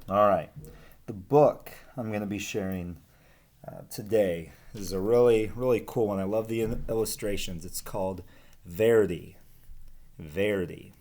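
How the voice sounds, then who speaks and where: A man speaking casually as a teacher to children, pausing between short phrases. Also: fairly low pitch, a little mellow. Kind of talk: casual talk and children's read-aloud.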